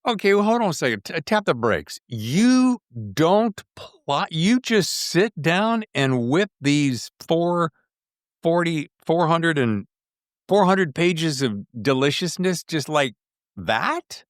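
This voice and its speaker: Animated male podcast host, dry close sound. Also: a little high, slow pace, no echo. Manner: animated, jokey, digressive, excitable. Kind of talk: casual conversational interview.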